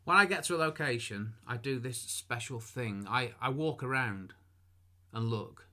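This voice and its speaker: A man's voice: mid-range voice, pushed and bright. A middle-aged man, teaching photography in casual talk to camera, chatty and self-deprecating, full of asides and restarts. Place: room at home.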